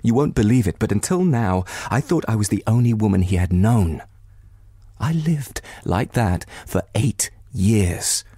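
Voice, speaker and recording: A man voicing a distraught, bitter betrayed wife in a novel, near tears, with anguished, halting and emphatic delivery. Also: fairly low pitch, animated, warm tone, breathy edge, no echo.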